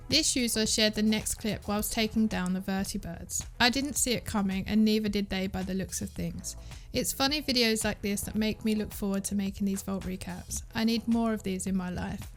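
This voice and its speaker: A woman's voice: mid-range voice, moderate pace, faint music behind. Woman hosting a gaming recap. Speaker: host of a gaming community recap show. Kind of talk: scripted narration, YouTube gaming news.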